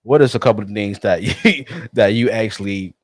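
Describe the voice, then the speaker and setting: Man, thirties, podcast host, lowish voice, halting, dry sound. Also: dry recording. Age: 30s. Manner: relaxed, halting, stammers and restarts. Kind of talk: casual podcast conversation.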